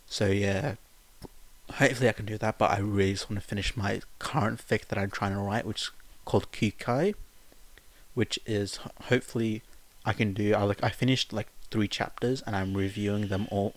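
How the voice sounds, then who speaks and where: A man's voice: on the low side, steady tone, normal speed. A man who works as an amateur podfic reader, speaking informally as he narrates and chats to listeners. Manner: informal, rambling, self-deprecating. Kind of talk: amateur story narration with sung verses, casual rambling chat afterwards.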